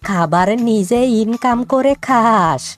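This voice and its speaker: A woman voicing a stern, scolding village father in a cartoon, with a drawn-out, admonishing manner. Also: fairly high pitch, animated. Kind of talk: cartoon dialogue dubbing. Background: music.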